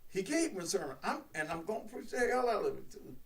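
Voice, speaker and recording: A man in his sixties, a radio host and preacher, talking in a slow, emphatic preaching cadence. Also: very animated, distant mic. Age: 60s. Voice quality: baritone. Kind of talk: preachy live-stream talk.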